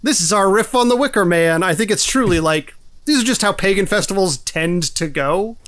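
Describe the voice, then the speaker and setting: A man's voice: high-pitched, animated, normal speed, a bit bright, no room sound, studio quality. A man co-hosting a podcast, discussing films casually and informally, with some swearing. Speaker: podcast co-host.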